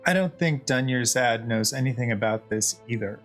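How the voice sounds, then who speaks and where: A man's voice: medium pitch, moderate pace. Laid-back man chatting over game music. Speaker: game streamer. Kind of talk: casual chat while playing a game, reading lines aloud.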